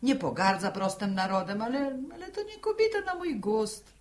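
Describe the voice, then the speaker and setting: High, swooping village gossip played by an actress. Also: female voice, animated, normal speed, lightly acted. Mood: knowing, mocking. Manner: effusive, singsong, gossipy. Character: nosy, gushing village gossip, a neighbour woman. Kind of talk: 1960s Polish radio drama acting.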